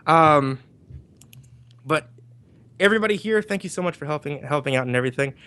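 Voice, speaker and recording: Man, livestream host, somewhat high voice, casual chat, informal appeals, call microphone. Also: animated, fairly quick, sharp tone, clean tone.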